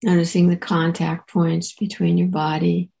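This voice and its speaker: A woman teacher leading a guided meditation in a soft, relaxed way, leaving gentle pauses. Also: on the low side, gravelly.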